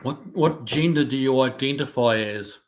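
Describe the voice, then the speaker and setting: A man from New Zealand hosts a relaxed live stream, and his New Zealand accent has been softened by years abroad. He reads out viewer comments and answers each one in an easygoing, chatty way. His pitch sits in an ordinary middle range. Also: normal speed. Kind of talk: casual live stream chat.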